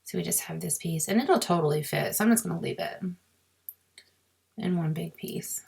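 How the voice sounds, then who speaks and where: A woman with a sore, scratchy throat, explaining a crafting software task step by step in a chatty way and muttering to herself as she clicks. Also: lowish voice, moderate pace. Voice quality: raspy with creaky fry. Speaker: crafting tutorial host. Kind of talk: screen-recorded software walkthrough.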